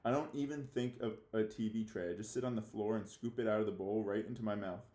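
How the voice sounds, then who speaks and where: A man's voice: on the low side, fast, dark tone, reverberant, lightly acted. A man reading a children's novel aloud as an anxious, defiant young boy left home alone, confessional and emphatic. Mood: defiant, pleased. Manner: confessional first-person storytelling with emphatic, childlike phrasing.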